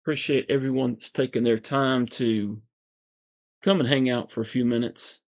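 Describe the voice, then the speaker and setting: A man with a calm, soothing voice, hosting a casual livestream, reading viewer comments in a relaxed, meandering way. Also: medium pitch, normal speed, vocal fry. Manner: relaxed, meandering, frequent fillers and soft chuckles. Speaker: livestream host.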